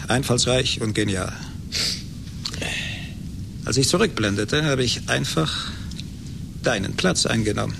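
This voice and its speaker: Smug avenger, condescending, deliberate, gravelly creak, radio drama, noisy recording with rumble. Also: male voice. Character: gloating, vengeful rich man taunting old friends. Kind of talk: German radio play acting.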